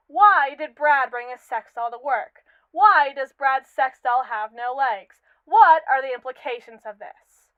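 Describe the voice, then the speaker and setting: High-voiced haughty robot woman, acted out by a woman. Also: smooth, no echo, narrow, tinny audio. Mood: mocking. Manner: pompous, verbose, theatrically indignant. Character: haughty, manipulative captive female robot, sarcastic and possessive. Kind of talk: scripted ASMR roleplay monologue.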